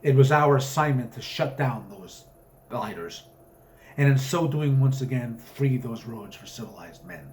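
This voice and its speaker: Man playing an elderly colonel, pompous, melodramatic, florid storybook delivery, middling pitch, noticeable noise. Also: expressive, unhurried, darkish tone, natural voice. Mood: grandly ominous. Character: pompous old colonel, melodramatic, wistful teller of adventure tales.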